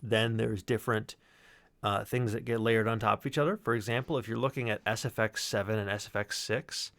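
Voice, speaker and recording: Low-voiced man explaining his sound design. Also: steady tone, fairly quick, fairly bright tone. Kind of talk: casual technical explanation of own work.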